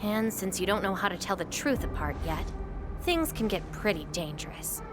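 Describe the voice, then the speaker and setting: An actress voicing a calm, self-assured young woman who wields fire, speaking game dialogue with a teasing edge over game music. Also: medium pitch, normal speed, lightly acted.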